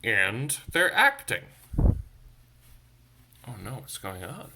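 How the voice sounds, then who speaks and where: A man's voice: mid-range voice, wildly swooping pitch, slow pace, noisy background. A man reading a picture book aloud to children, expressively, with chatty asides to his young listeners. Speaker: storytime reader for a church. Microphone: phone.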